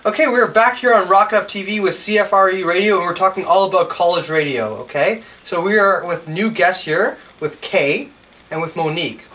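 A man's voice: high voice, fairly quick, pushed and bright. A man in his thirties hosting a casual interview show from an indoor studio. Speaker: TV show host. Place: furnished indoor music room studio. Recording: distant mic with clear background noise.

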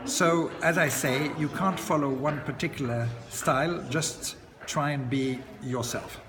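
A man in his sixties, an auctioneer, answering interview questions in a relaxed, chatty way with frequent "uh" pauses and light chuckles, filmed up close in an indoor lounge by large windows. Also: on the high side, background noise. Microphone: camera close to his face. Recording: long echo, loud crowd chatter behind.

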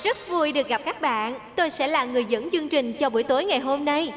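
A woman's voice: high voice, fast talker, long reverb tail, close to an everyday voice. A woman voicing a friendly young female presenter in a children's cartoon. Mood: cheerful. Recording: loud music behind the voice.

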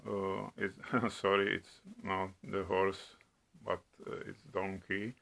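A middle-aged man from the Czech Republic with a Czech accent, demonstrating products at home in a slow, halting way with many hesitations. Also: low-pitched, monotone, creaky, a bit bright. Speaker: product reviewer. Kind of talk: casual product demo. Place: home room at a table.

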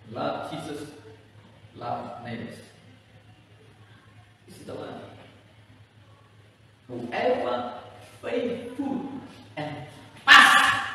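A middle-aged man, a native Indonesian speaker, preaching a sermon as pastor, swinging from quiet lines to loud high-pitched rises while pacing and gesturing. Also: creaky. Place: large bare community hall, indoors. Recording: echoey hall, voice far from the mic.

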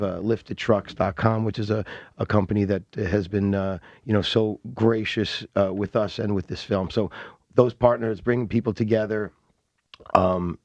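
A man's voice: fairly low pitch, a little mellow, no echo, lo-fi sound. A man speaking as a podcast guest in a studio, rambling with fillers and restarts. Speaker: interview guest, film director. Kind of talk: casual interview. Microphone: studio mic on boom arm.

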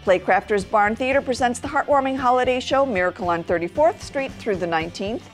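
A woman's voice: fairly high pitch, expressive, a little fast, faint music behind. A middle-aged American woman presenting a scripted television events calendar. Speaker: TV segment presenter. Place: TV studio.

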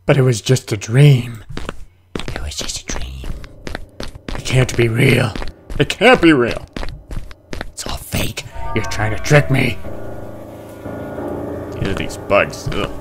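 A man's voice: medium pitch, very animated, slow pace, long pauses, over music. A man hosting a horror game playthrough, talking to himself and to the game in a theatrical, jumpy way. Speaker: gaming video host.

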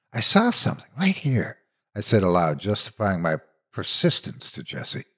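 Male storyteller, low-pitched, husky, dramatic horror narration, suspenseful, measured.

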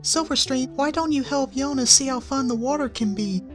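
Give me a shy, gentle pony teacher who speaks in a soft, high, careful voice, as a reader puts her on. She sounds kind, with faint music underneath. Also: moderate pace, close to an everyday voice.

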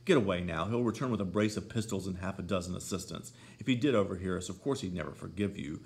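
Guy doing fiery proud lady of the manor, bossy and dramatic, a bit gravelly. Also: quick pace, darkish tone. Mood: urgent. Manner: scornful, imperious, rising to shrill pleading. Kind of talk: audiobook character voice.

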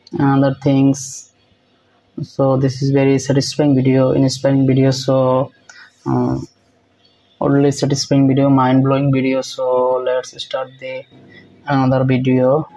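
Young guy reacting on phone cam, halting broken english, super monotone and dark. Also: medium pitch, vocal fry, dull audio, slight background noise. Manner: repetitive, halting non-native English with fillers. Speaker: reaction video host. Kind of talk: casual reaction commentary. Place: indoors, small room.

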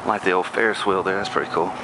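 A man's voice: fairly even intonation, fast. A man casually narrating as he explores an abandoned amusement park, guessing aloud what things used to be. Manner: musing, speculative, low-key. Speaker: urban explorer filming. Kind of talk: casual walk-through commentary. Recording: loud outdoor noise on camera mic.

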